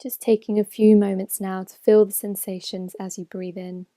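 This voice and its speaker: A woman leading a guided meditation as a mindfulness teacher, speaking in a gentle, measured way with phrases that soften at the end. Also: mid-range voice, level tone, dry recording, clean studio sound. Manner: gentle, measured, unhurried instruction with soft phrase endings. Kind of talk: slow guided meditation narration.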